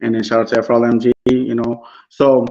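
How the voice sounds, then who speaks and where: Middle-aged rapper guy, polite short answers, flat delivery, dull muffled sound. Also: medium pitch, fast. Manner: polite, short affirming replies. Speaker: rapper guest. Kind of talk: casual interview answers.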